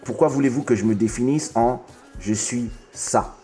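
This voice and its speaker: A man's voice: medium pitch, normal speed, warm tone, soft background music. A man from Congo hosting a casual chat, talkative and often stumbling and restarting his sentences. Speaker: podcast host.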